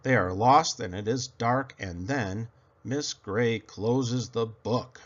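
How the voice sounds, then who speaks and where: A man reading a children's picture book aloud as scripted narration, in an expressive storytelling manner. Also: mid-range voice, slow, muffled recording.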